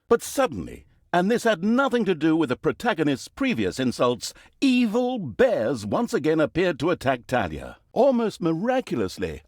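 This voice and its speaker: A man's voice: medium pitch, darkish tone, no room sound, clean studio sound. A man playing a sardonic, vain storyteller who narrates a comic fantasy game saga in a wry, mock-grand theatrical manner. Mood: smug, wry. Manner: wry, mock-grand, dramatic emphasis and asides.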